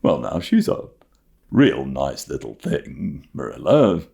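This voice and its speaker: A man voicing a shy, gentle old farmer who speaks hesitantly and reluctantly, in an audiobook story. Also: a bass voice, huge pitch swings, creaky, pitched below the performer's own voice, heavily acted. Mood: reluctant, mild. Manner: hesitant, halting.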